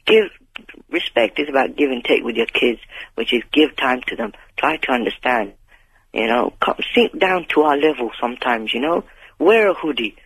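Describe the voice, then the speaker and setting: A man's voice: medium pitch, expressive, moderate pace, vocal fry, fairly bright tone, breathy edge. A fifteen-year-old male caller with a London accent, talking candidly in emphatic slang and repeating his phrases, on a radio phone-in. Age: 15, teenager. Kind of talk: candid informal conversation. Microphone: telephone. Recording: phone call on talk radio.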